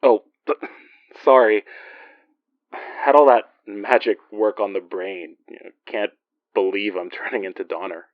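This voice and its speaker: A man's voice: fairly low pitch, steady tone, a little slow, no echo, phone-quality sound, lightly acted. An actor playing a weary, guarded male investigator in an audio drama, speaking in a wry, hesitant, conversational way. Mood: apologetic, wry. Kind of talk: naturalistic audio drama acting.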